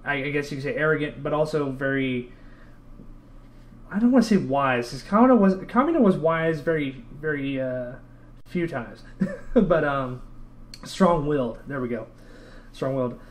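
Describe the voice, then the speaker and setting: Man, podcast host, somewhat high voice, chatty, hesitant, fannish. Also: expressive, moderate pace, long pauses. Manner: chatty and hesitant, a keen fan. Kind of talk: casual podcast interview.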